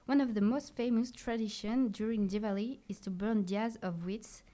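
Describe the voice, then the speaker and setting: A woman's voice: medium pitch, steady tone, normal speed, mellow. A woman guest on a podcast, speaking from prepared lines with the odd filler. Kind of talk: scripted podcast answers.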